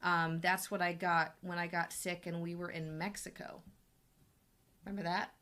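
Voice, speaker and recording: A woman's voice: lowish voice, level tone, moderate pace, a bit bright. A woman hosting a casual live question-and-answer chat as a health coach, talking in a chatty, rambling way with many restarts, her voice still recovering from an illness. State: voice still recovering from being sick. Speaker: health coach and show host.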